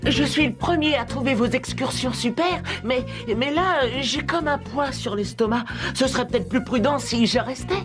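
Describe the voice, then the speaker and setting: A woman's voice: high-pitched, normal speed, hardly any pauses, over music, close to an everyday voice. A woman voicing a reluctant, anxious, good-natured schoolboy in a French cartoon dub, hesitant and whiny.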